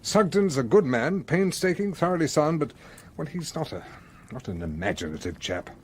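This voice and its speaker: An actor playing a bluff, genial English colonel in a radio play, with an English accent and a jovial manner. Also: male voice, fairly high pitch, very animated, moderate pace, a little hiss or hum. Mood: concerned, brisk. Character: hearty, genial English colonel, sentimental police chief.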